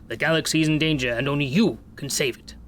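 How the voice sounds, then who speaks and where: A man performs a sci-fi game doctor with a slightly raised voice, brisk and formal. He instructs in an encouraging way over noticeable background ambience. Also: a little high, a bit bright, slight background noise, natural voice. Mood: brisk, instructive. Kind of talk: video game tutorial dialogue acting.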